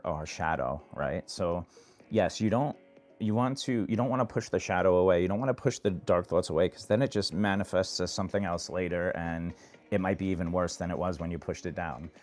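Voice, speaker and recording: A man from New York hosting a late-night phone livestream, giving earnest advice to viewers in a monotone way. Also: sits low. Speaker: livestream host. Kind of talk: casual live chat answering viewers.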